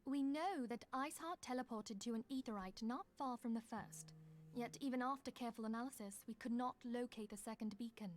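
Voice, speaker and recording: A woman's voice: on the high side, a little fast, few pauses, a little hiss or hum, close to an everyday voice. A woman playing a grave, resolute leader in a fantasy video game, speaking formally and solemnly.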